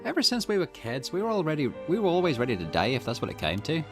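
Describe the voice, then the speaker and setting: A man's voice: fairly high pitch, wildly swooping pitch, fast, music in the background. A man voicing a brash, loyal young woman from a video game, with a blunt, teasing manner. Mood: defiant, resolute.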